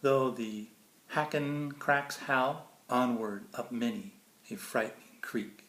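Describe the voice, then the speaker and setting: A man's voice: mid-range voice, slow pace, fairly bright tone. A man reading a children's picture book aloud in a theatrical, playful way, with casual asides about the pictures. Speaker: storybook reader. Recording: roomy echo, faint background noise.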